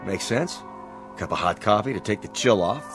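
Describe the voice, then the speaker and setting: An actor playing an earnest state trooper investigating something strange in a radio drama, terse and intent. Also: male voice, on the low side, lively intonation, moderate pace, over music, lightly acted. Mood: intent, uneasy.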